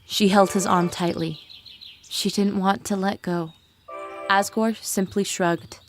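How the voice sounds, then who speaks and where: A woman's voice: medium pitch, fairly even intonation, slow pace. A woman reading scripted narration for a dramatic fan fiction story, with music playing behind her. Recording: music bed with birdsong behind.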